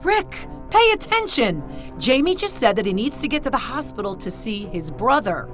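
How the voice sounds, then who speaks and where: A woman playing a sadistic, playful female chauffeur in an audio drama, taunting in a singsong way with drawn-out words. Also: a little high, big pitch swings, music in the background. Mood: playful goading. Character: sadistic, playful female supernatural chauffeur who forces cruel choices.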